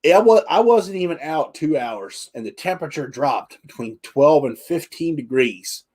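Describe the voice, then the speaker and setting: A man hosting a livestream, chatting casually in a halting way with frequent restarts and reading out viewer questions. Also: fairly high pitch, expressive, a little mellow, breathy edge. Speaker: livestream host.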